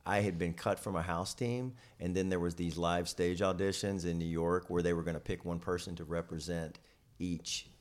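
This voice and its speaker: Male comedian guest, low voice, loose and rambling conversational delivery. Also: fairly even intonation, moderate pace. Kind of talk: casual chat.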